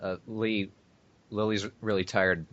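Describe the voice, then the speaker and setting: A man's voice: on the low side, little pitch movement, slow pace, a bit bright, no room sound. A man co-hosting a casual podcast with friends, with a wry and crude sense of humor. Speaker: podcast co-host. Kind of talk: casual group podcast chat. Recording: dry, dull lo-fi voice chat.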